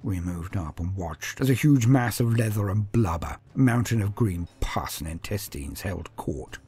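A man's voice: on the low side, lively intonation, moderate pace, darkish tone, slightly rough. A man voicing a grim armoured space marine who recounts battle in a solemn, martial, disdainful storytelling manner. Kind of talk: first-person dramatic storytelling.

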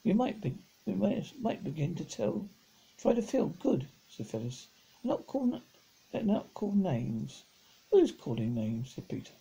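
A middle-aged man with a husky, gravelly voice reads a children's novel aloud, halting and stumbling over words as he goes. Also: sits high, very animated, mellow, rough recording. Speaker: amateur storybook reader. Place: indoors at home, seated on a sofa.

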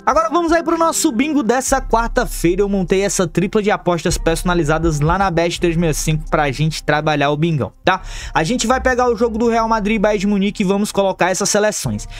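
A Brazilian-accented man with a notably high voice runs through football bets over a screen recording. He talks in a quick, chummy way, calling his viewers "family" and "the crew". Soft music hums quietly behind him. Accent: Brazilian Portuguese. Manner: upbeat, chummy, calls viewers "rapaziada" and "família". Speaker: football betting tipster.

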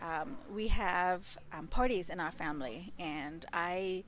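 A woman's voice: on the low side, unhurried, noisy background. A woman in her thirties, from India and raised in Dubai, answering interview questions outdoors into a handheld mic, thoughtful and a little hesitant as she searches for words. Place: outdoors beside a building wall. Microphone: handheld mic held close.

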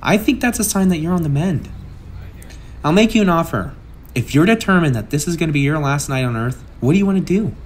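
A man's voice: fairly high pitch, expressive, brisk, a little hiss or hum, lightly acted. A man playing a brash, sarcastic masked antihero with a kind streak, in a theatrical comic book dub. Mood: excited, playful.

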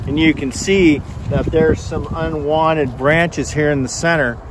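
An older man with a fairly high voice, chatting outdoors about a tool in a friendly, rambling way. He often stumbles and repeats words. Faint outdoor noise sits behind his voice on the camera mic. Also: fairly even intonation, measured pace. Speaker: vlogger reviewing a tool. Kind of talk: casual product demo. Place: outdoors on a farm field.

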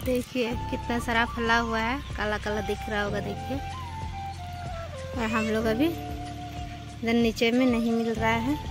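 A woman's voice: fairly high pitch, steady tone, a little slow, long pauses, over music. A young woman vlogging on a phone outdoors in village fields at dusk, chatting to her viewers as friends. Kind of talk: casual walking vlog. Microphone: phone camera held in hand.

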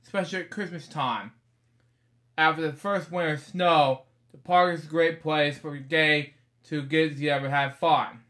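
A man's voice: a little high, fairly even intonation, moderate pace, fairly bright tone. A man in his thirties reading a picture book aloud in a small bedroom, rambling with casual asides and stock phrases.

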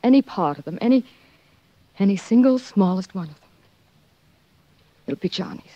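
An actress playing a lovelorn, pleading married woman in a 1940s radio melodrama, halting and earnest. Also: medium pitch, lively intonation, mellow, dry recording, natural voice. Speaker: supporting actress. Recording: dull old 1940s radio recording.

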